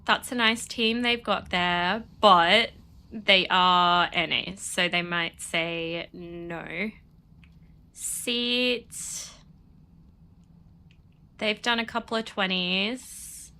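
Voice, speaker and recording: Woman gaming streamer, slow chatty rambling, bright voice, bit sniffly from a cold. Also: fairly low pitch, fairly even intonation, creaky. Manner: rambling, chatty, laughs often. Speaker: game streamer. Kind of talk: casual chat while playing.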